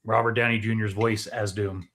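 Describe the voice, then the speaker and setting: A man talking casually as a podcast co-host, explaining things in a measured way. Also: fairly low pitch, flat delivery, a bit bright. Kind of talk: casual movie chat.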